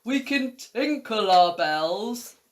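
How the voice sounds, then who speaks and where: A woman voicing an obliging storybook cow with loud, drawn-out, mooing words. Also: a little high, lively intonation, voice pushed up.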